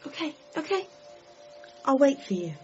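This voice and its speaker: A woman's voice: sits high, big pitch swings, moderate pace, over loud music. An actress playing a devoted, patient girlfriend in a radio comedy drama, coaxing and reassuring. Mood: gentle, reassuring. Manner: reassuring, pleading. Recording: muffled, noisy ambience behind.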